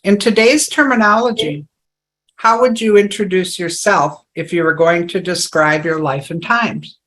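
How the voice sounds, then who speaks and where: An interviewer poses polite, formal questions from a script. The voice is bright and crisp, and the delivery stays courteous. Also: mid-range voice, measured pace, low-quality recording.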